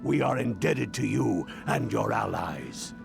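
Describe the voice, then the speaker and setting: A man playing a noble, solemn liege commanding an army in a fantasy game, stately and measured. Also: lowish voice, expressive, gravelly, over music, full character voice. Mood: solemn, approving.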